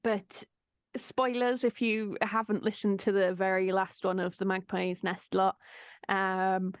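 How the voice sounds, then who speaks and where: A woman's voice: medium pitch, flat delivery, unhurried, smooth, no echo. A woman hosting a casual, informal chat, giggly and free with her swearing. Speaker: host of an audio drama behind-the-scenes chat.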